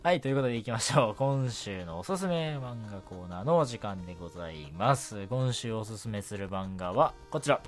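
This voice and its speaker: A man's voice: lowish voice, expressive, brisk, hardly any pauses, faint music behind. A man hosting a night radio show, chatting casually and politely on his own, often punctuating his talk with short affirmations. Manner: chatty, polite presenter phrasing with frequent short self-affirmations. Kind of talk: casual solo radio talk about anime and manga.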